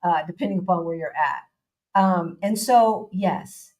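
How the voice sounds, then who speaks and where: Low-voiced woman host, chatty live stream talk, repeats herself, casual slang. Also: a little slow, a little mellow.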